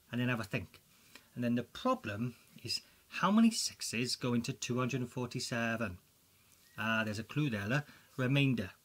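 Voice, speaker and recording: A man with a Welsh accent presents a school quiz for children in a chatty, excitable way, full of jokey asides. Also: mid-range voice. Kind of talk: playful quiz commentary for children.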